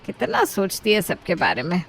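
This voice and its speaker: A woman's voice: lowish voice, big pitch swings, normal speed. A woman playing a middle-aged cartoon mother-in-law, commanding and sarcastic but doting on her daughter. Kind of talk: Hindi moral-story cartoon dialogue.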